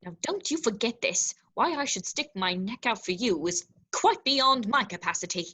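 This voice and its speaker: A woman's voice: a little high, big pitch swings, moderate pace, sharp tone, heavily acted. A woman playing a fussy, polite, anxious droid servant in a script read, with formal, wordy manners. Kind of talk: virtual script table read.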